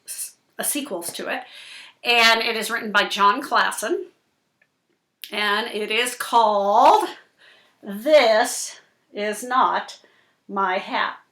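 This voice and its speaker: A woman's voice: a little high, sharp tone. A woman teacher presenting a read-aloud lesson for young children, explaining and addressing them directly with questions. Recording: roomy, echoing indoor sound.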